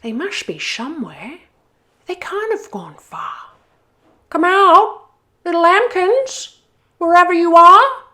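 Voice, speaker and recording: A scheming, hungry wolf from a children's storybook, acted out by a woman. He is vain and boastful, gloating slowly over his words in a raised voice. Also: very high-pitched, big pitch swings, bright, forceful tone, voice pushed up, heavily acted. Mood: searching.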